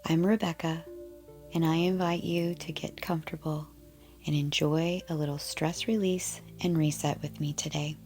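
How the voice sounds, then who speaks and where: Female meditation guide, low and very even, soft breathy endings, soothing delivery over music. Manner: soothing, gentle, unhurried. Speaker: yoga nidra guide.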